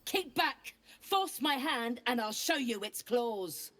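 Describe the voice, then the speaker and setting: An actress playing a hostile, suspicious druid guard in a video game, curt and commanding. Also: female voice, a little high, animated, slow pace, fairly bright tone, soft background music, exaggerated voice. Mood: menacing.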